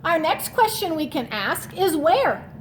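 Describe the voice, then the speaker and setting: A woman teacher leading a children's read-aloud lesson, speaking in a deliberate, instructional way and repeating key words. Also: very high-pitched, big pitch swings, slight background noise.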